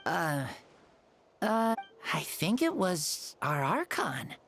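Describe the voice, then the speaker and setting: A woman playing an earnest, frail young contestant in a video game, halting and full of wonder. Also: fairly low pitch, sing-song, a bit bright, faint music behind, heavily acted. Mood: unsure.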